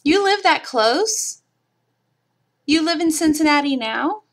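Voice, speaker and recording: A woman's voice: sits high, animated, takes it slowly. A middle-aged woman from Kentucky with a mild Kentucky accent, chatting casually with her viewers during a live craft stream. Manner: chatty, talks to viewers in the chat. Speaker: livestream crafter.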